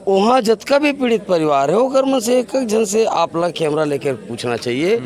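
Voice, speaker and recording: High-pitched Chhattisgarhi man, emphatic spokesman. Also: sing-song, normal speed, a little mellow, some chatter behind. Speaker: activist organisation spokesman. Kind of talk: interview answer in oratorical phrasing.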